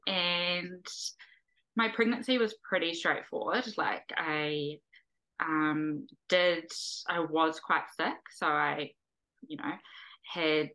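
New woman, young mum, bright tone, lowish voice, relaxed storytelling, ums. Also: rough recording. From New Zealand. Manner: relaxed storytelling with fillers and short pauses. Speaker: guest, mother. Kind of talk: casual podcast interview.

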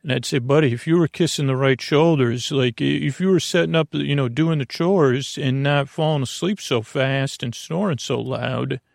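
A man's voice: mid-range voice, normal speed, vocal fry, few pauses, dry recording, clean studio sound. A man hosting a bedtime podcast in a whimsical, meandering monologue, often hesitating and breaking off mid-thought. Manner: meandering, hesitant, self-interrupting; whimsical, silly. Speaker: podcast host.

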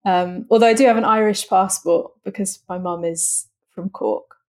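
A woman's voice: medium pitch, moderate pace, darkish tone. A young woman chats in a casual, friendly way. She asks curious follow-up questions and laughs easily. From North London, England. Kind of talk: casual conversation between friends.

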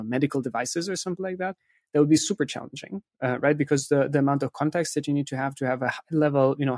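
A man's voice: mid-range voice, darkish tone, no room sound. Man explaining thoughtfully, dry close sound. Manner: often tags statements with a questioning "right?". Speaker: interview guest, content agency specialist.

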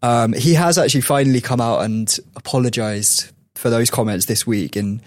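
A man's voice: medium pitch, normal speed, dry recording. A man hosting a podcast, telling stories in a chatty way with frequent laughs. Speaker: podcast host. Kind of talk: casual chat.

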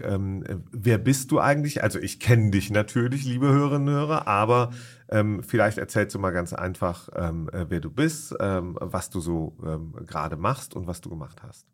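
A man hosting a podcast in a conversational interview style, with hesitant, rambling phrasing full of ums. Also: fairly low pitch. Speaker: podcast host.

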